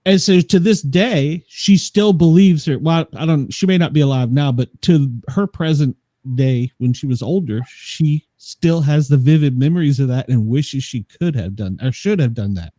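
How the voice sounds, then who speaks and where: Male host, chatty and laughing easily, somewhat high, mellow, dry sound. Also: moderate pace, few pauses, no echo. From Washington, D.C. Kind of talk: casual interview chat.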